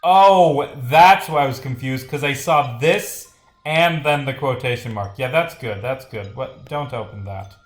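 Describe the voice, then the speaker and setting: A man casually commentating on a livestream as a game developer, rambling and thinking out loud as he reads over his script. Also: medium pitch, measured pace. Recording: roomy, echoing sound with music.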